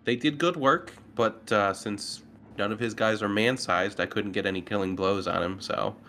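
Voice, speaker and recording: A man's voice: fairly low pitch, moderate pace, pushed and bright, a little hiss or hum. A man chatting casually as a player in a tabletop game. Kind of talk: casual chat.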